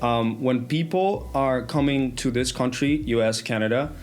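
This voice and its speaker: A man's voice: medium pitch, moderate pace, a little hiss or hum. Earnest man with a Colombian accent. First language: Spanish. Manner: earnest, repeats words while building thoughts. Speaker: podcast guest. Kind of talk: casual conversation.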